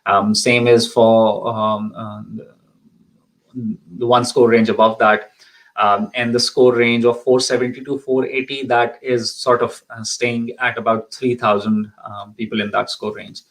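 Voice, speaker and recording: A man's voice: medium pitch, little pitch movement, measured pace, fairly bright tone, poor audio. A man from Pakistan hosting a live question-and-answer session as an immigration consultant, speaking with frequent ums and restarts. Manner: hesitant, many ums and restarts.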